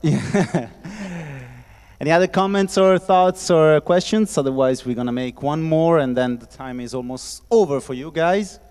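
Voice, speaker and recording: A man from Italy hosting a casual festival discussion, playful and quick to laugh, talking into a handheld mic with many fillers. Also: on the high side, a little mellow, clear, resonant tone. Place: festival hall with audience. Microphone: handheld microphone. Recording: reverberant hall.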